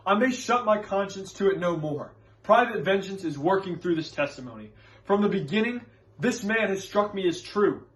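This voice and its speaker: A man plays a scholarly witch-hunting reverend in a stage drama. The high voice is earnest and preacherly, leaning firmly on formal, archaic wording. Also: animated, normal speed, fairly bright tone, poor audio. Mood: pleading.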